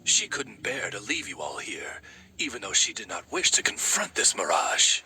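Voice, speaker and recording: Courtly raven attendant, lively intonation. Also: male voice, lowish voice, moderate pace, slight background noise, exaggerated voice. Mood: polite explaining. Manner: formal, genteel, butler-like. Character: courtly talking raven familiar, dignified, loyal interpreter. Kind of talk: anime game voice acting. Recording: music behind the voice.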